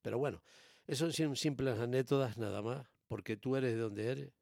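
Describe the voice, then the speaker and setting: Gravelly Canary Islands man, explaining emphatically. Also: medium pitch, moderate pace, darkish tone, no room sound, clean studio sound. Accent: Canary Islands Spanish. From born in Tenerife, raised in Gran Canaria, Canary Islands. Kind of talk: casual opinionated chat.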